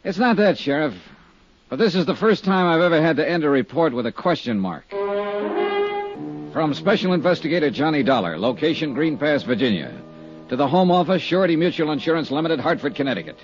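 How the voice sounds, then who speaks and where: An American actor playing a world-weary hardboiled insurance investigator in a 1950s radio drama, with clipped, dryly emphatic delivery. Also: male voice, fairly high pitch, lively intonation, brisk, faint music behind. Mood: dry, resigned. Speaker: radio drama lead and narrator. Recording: muffled vintage 1950s radio recording.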